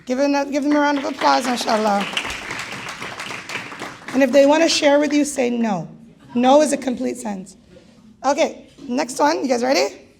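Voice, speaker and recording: A woman emceeing a gala and calling raffle numbers, with a raspy voice and a playful, teasing manner. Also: high voice, normal speed, pauses often, darkish tone, a faint crowd, a little hiss or hum. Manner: playful, teasing, jokey asides. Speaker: event host calling raffle draws. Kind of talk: live emcee banter at a gala.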